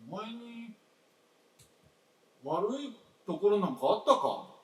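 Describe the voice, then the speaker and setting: A man's voice: sits high, sing-song, takes it slowly, full character voice. A man playing a self-doubting, blunt and resigned husband in a radio drama, with terse, old-fashioned masculine speech. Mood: probing. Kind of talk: staged radio drama acting. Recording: echoey stage, distant mic.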